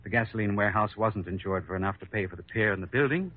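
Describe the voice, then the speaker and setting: An actor as a skeptical investigator, tinny radio sound. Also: male voice, lowish voice, fast talker, slight background noise, natural voice. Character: skeptical, methodical male investigator, businesslike and grudgingly fair. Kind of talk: 1940s radio drama acting. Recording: tinny, narrow old radio recording.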